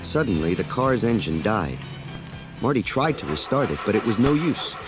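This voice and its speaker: A man narrating a children's read-along story in a dramatic, expressive storytelling manner. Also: on the low side, moderate pace, music in the background.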